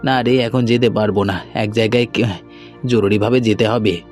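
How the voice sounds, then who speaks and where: A man's voice: on the low side, steady tone, moderate pace, darkish tone, natural voice. A man playing a friendly young businessman in a cartoon, talking in a casual, conversational way. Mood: polite, friendly. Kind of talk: cartoon dialogue acting. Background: background music.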